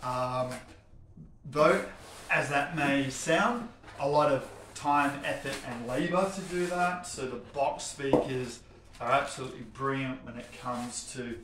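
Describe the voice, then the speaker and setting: A man's voice: mid-range voice, a little slow, background noise. A man giving a casual, enthusiastic and explanatory product commentary in an echoey indoor space. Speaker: home cinema showroom presenter. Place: showroom warehouse, indoors. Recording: echoey, reverberant indoor room.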